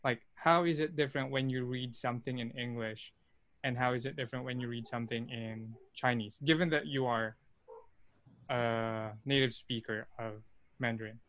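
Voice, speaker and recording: A man chatting casually and asking questions, relaxed, with frequent fillers. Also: medium pitch, moderate pace. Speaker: conversation partner asking questions. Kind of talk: casual conversation.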